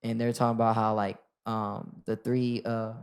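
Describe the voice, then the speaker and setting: A man's voice: fairly low pitch, moderate pace, smooth. A man in his twenties, a rapper guest, talking casually in an interview and often restarting with "like". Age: 20s. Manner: relaxed, lots of "like" and restarts.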